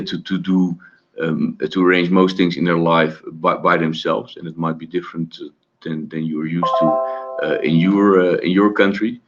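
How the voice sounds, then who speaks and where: A man giving an informal webinar presentation, halting often with many fillers and restarts. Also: sits low, muffled recording. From Netherlands. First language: Dutch. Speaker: presenter of study-abroad webinar.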